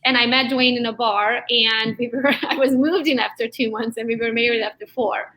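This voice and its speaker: A woman around forty, from Transylvania in Romania with Hungarian as her first language, telling her story as a real estate agent guest in a lively way with frequent laughs. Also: on the high side, normal speed, poor audio. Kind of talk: interview guest chat.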